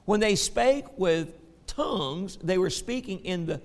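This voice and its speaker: A man with a Southern American accent teaching a Bible lesson, folksy in his asides and slow and emphatic when he reads scripture. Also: high-pitched, expressive, in a large hall. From Southern United States.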